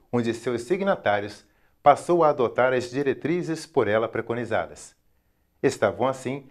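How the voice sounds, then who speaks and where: A man with a Brazilian accent reading scripted educational documentary narration in a formal, measured, carefully enunciated way. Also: mid-range voice.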